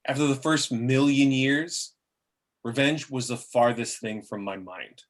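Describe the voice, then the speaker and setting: A man's voice: medium pitch, unhurried, close to an everyday voice. A man playing a bitter, scheming male demon and fallen muse in a script table read, speaking in theatrical, grandiose monologue with the odd stumble. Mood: self-justifying. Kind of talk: amateur table reading of a film script.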